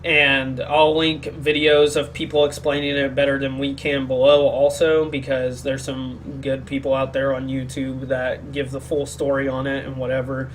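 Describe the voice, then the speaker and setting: Sick man, flat and rambling. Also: medium pitch, moderate pace, few pauses, slight background noise, poor audio. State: sick, speaking more quietly than usual. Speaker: podcast co-host. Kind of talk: casual opinionated discussion.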